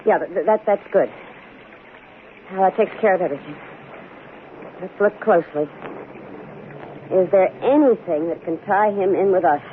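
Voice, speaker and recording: An actress playing a scheming, coolly commanding wife in radio drama, with a brisk, insistent, persuasive manner. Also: female voice, lowish voice, lightly acted. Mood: brisk, controlled. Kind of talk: 1970s radio drama acting. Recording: tinny, noisy old radio broadcast.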